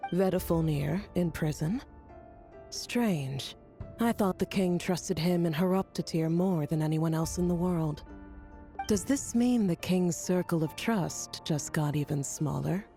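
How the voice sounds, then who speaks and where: A haughty, sardonic woman in a fantasy game. Her lowish voice is dark and mellow, delivering blunt remarks with cool mockery over music. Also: a little slow. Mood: dry, mocking. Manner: mocking, cool, probing. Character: blunt, haughty, sardonic female court intellectual. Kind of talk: dramatic video game voice acting.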